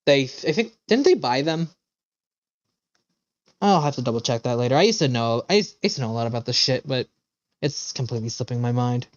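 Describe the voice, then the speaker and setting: A man's voice: medium pitch, lively intonation, brisk, pauses often, dry recording. Man streaming games, dry, slightly dull sound. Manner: opinionated, profane, rambling. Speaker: video game streamer. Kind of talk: casual live stream chat with viewers.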